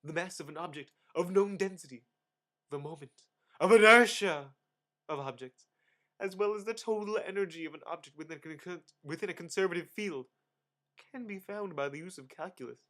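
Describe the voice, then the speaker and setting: Amateur male reader, slightly high-pitched, theatrical delivery of scholarly text, pompous swells and dramatic pauses. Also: bright, forceful tone. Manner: mock-grandiose, with sudden emphatic swells and dramatic pauses.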